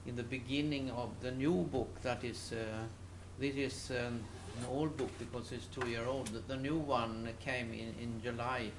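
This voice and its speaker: A man lecturing informally to a class, speaking in a halting, hesitant way with non-native phrasing. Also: medium pitch, steady tone. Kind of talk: informal classroom talk to students. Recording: echoey room with clear background noise.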